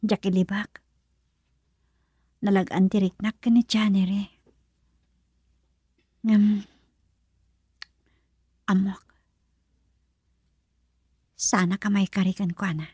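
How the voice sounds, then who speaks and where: A lovestruck young woman who works in a nightclub, brooding and unsure of herself. Her voice is soft-edged, mellow and slightly husky. The recording is close and dry. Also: medium pitch, steady tone, slow pace, long pauses, dry recording. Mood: wistful, yearning. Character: lovestruck young nightclub worker, wistful and self-doubting. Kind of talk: radio drama monologue.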